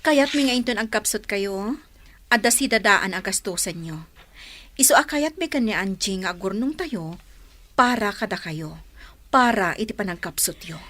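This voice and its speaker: An actress playing a sensible, devout young married daughter who studies, speaking earnestly and dutifully in an Ilocano radio drama. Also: female voice, mid-range voice, lively intonation, normal speed, bright, forceful tone.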